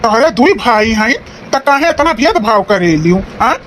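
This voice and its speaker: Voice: big pitch swings, normal speed, a bit bright. A performer voicing a practical, patient village husband in an Awadhi comedy cartoon, plain-spoken and questioning. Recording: faint rumble and background noise.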